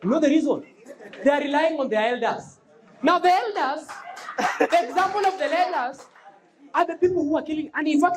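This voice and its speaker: A student debater with a Kenyan accent gives a fiery, rhetorical debate speech, repeating slogans and shouting points. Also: sits high. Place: university debate hall. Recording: echoey hall with a noisy crowd.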